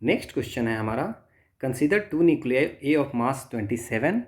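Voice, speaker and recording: A man's voice: mid-range voice, animated, slow pace. A man with an Indian accent teaching as a tutor, working step by step through problems, thinking aloud and answering his own questions. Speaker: physics tutor. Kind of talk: step-by-step exam problem lesson, mixing Hindi and English.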